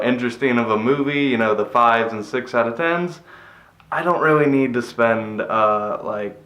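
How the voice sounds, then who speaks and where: Flat-voiced man in an echoey empty room. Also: on the low side. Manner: rambling, cynical, swears casually. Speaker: movie reviewer. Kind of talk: casual spoken movie review. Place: empty room after a move.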